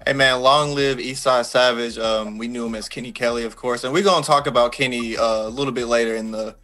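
A man hosting a podcast interview over a video call, asking questions in a relaxed way with hesitant fillers. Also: medium pitch, fairly quick, soft background music.

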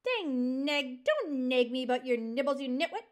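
A woman's voice: high-pitched, big pitch swings, a little fast, fairly bright tone. A woman voicing a grumpy old male porcupine in a storybook, scornful, blustering and given to bellowing insults. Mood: furious. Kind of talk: animated storybook character reading.

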